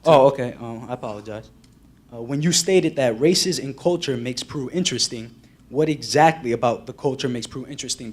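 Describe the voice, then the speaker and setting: A man's voice: medium pitch, a little hiss or hum. A young man in the audience politely asking a question, restating it carefully and precisely.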